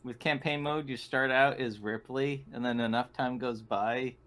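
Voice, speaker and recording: A man with a medium-pitched voice talks as a tabletop role-playing player. His delivery is casual, conversational post-game chat. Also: fairly even intonation, normal speed, fairly bright tone.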